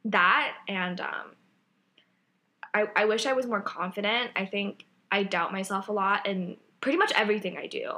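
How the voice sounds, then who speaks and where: A young woman co-hosting a podcast in casual chat, with a loud voice that projects and an energetic way of talking. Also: medium pitch, moderate pace. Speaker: podcast co-host.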